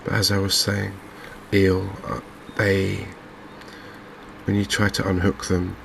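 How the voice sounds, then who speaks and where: Slow, low-pitched, softly spoken man with gravelly creak. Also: completely flat delivery, a little hiss or hum. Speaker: gaming channel host. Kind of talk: softly spoken ASMR game commentary.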